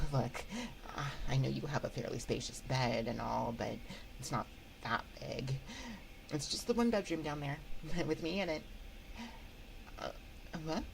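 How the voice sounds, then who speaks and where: A woman's voice: sits low, gravelly, noisy background, heavily acted. A woman voicing a timid, awkward female shade in an ASMR roleplay, stammering and rambling nervously into a close microphone. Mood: nervous, apologetic. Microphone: close binaural microphone.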